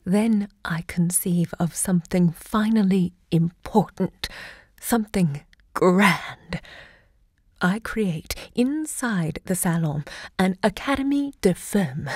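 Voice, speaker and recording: An actress playing a passionate, proud literary hostess and lover, narrating an audio drama with dramatic, deliberate delivery. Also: female voice, medium pitch, a little mellow, no echo, clean studio sound.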